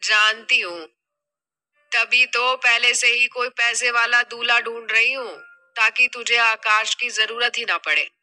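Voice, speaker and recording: A scheming, money-minded middle-aged mother played by a woman from an audio drama. She scolds and nags with exasperation in a high, forceful, very bright voice. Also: fairly even intonation, unhurried, soft background music. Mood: scheming, teasing.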